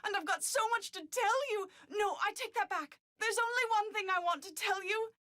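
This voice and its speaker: A woman's voice: very high-pitched, moderate pace, bright, forceful tone, no room sound, clean studio sound. A woman playing a lovestruck young bride-to-be in a video game, effusive and melodramatic. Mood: lovestruck, relieved.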